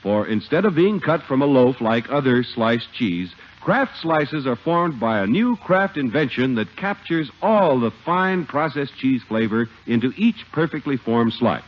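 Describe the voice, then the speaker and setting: Lively male 1950s radio announcer, dull recording. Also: mid-range voice, measured pace, few pauses. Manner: polished, emphatic sales delivery. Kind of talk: 1950s radio advert and scripted narration. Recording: old, dull 1950 radio broadcast with music.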